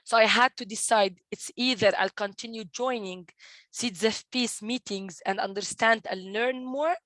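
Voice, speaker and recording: A woman's voice: on the high side, unhurried, a bit bright, no echo. A young woman in her twenties from East Jerusalem, speaking English with a Palestinian Arabic accent and telling her story earnestly, often restarting and repeating words on a video call. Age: 20s. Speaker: guest, community manager at a peace group. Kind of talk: personal storytelling in a panel talk.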